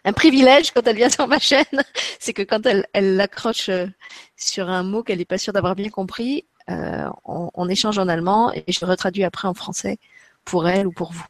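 A woman's voice: medium pitch, moderate pace, dry recording. A woman hosting her own livestream channel, greeting viewers and steering the talk with a guest. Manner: friendly, organizing, addresses viewers. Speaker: channel host. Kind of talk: livestream moderating.

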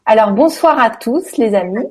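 Animatrice à la voix un peu voilée. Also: female voice, on the high side, expressive, normal speed, a little mellow, breathy edge. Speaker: host. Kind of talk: casual live web interview.

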